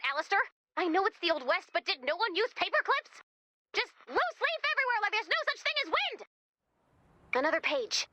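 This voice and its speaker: A woman's voice: high voice, sharp tone, exaggerated voice. A woman voicing a quirky, wisecracking young American guide character in a video game, with a chatty, theatrical manner. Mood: exasperated, invested. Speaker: mission guide character addressing the player commander.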